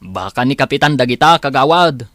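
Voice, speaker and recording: A man's voice: medium pitch, expressive, fairly quick, fairly bright tone, dry recording, close to an everyday voice. A man playing a deferential village driver in an Ilocano comedy drama, with an Ilocano accent. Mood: puzzled. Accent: Filipino, Ilocano. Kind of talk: acted village comedy dialogue.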